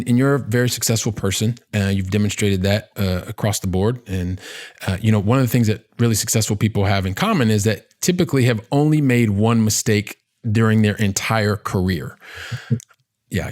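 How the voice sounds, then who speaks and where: A man hosting a podcast in a conversational interview style, with wordy, halting phrasing and catchphrases. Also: lowish voice, darkish tone. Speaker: podcast host.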